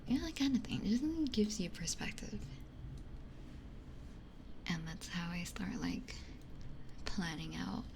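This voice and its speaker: A woman streamer whispering in a breathy, conversational ASMR style, talking reflectively close to a binaural microphone. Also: lowish voice, sing-song, measured pace, pauses often, background noise. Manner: reflective, conversational, repeats phrases for emphasis. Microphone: close binaural ear microphone.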